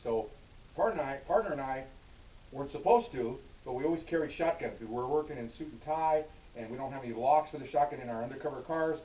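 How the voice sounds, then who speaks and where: Older ex-cop telling war stories quick, loud when he acts it out, roomy far-off mic with hiss. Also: mid-range voice. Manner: animated, acts out his own yelling. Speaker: retired police officer telling stories. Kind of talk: casual storytelling to camera. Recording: roomy, distant, dull with hiss.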